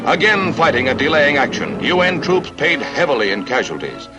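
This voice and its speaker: High-pitched, animated American newsreel man. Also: moderate pace, poor audio. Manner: dramatic, grave, declamatory. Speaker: newsreel narrator. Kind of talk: 1950 newsreel narration, scripted. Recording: vintage 1950 newsreel soundtrack with music.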